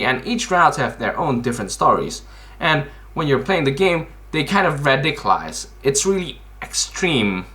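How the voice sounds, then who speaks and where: A man hosts a casual explainer in a bright, punchy voice. His pitch moves around a great deal as he stresses his points. He is blunt and informal, posing questions and then answering them himself. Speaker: video host.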